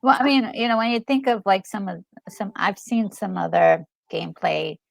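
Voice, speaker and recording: Creaky-voiced woman, casual co-host. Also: medium pitch, moderate pace, dry recording. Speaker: co-host reacting to gameplay. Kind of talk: casual chat. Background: faint game music.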